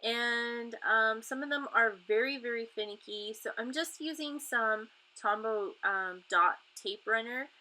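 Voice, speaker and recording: Bright-voiced woman, stuffy from a cold. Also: a little high, measured pace, few pauses. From Canada. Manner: chatty, rambling, many ums. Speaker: craft vlogger. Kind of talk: casual crafting voice-over.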